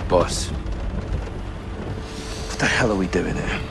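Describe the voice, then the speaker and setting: An actor playing an earnest, uneasy young soldier in a video game, terse and questioning. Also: male voice, deep voice, expressive, slow pace, gravelly, heavily acted. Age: young adult. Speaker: army sergeant. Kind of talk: cinematic video game acting. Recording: music and vehicle noise behind.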